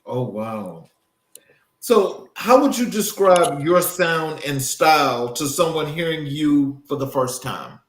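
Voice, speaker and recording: A man's voice: a little high, expressive, slow pace. A man hosting a conversational interview, asking questions and offering short affirmations. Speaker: interview host. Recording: roomy, echoey sound.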